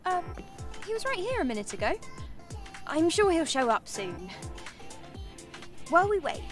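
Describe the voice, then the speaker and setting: A woman's voice: very high voice, very animated, moderate pace, recorded from a distance, over music. A woman playing an earnest, girlish young engineer companion in a video game. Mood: helpful, earnest. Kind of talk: cinematic video game dialogue.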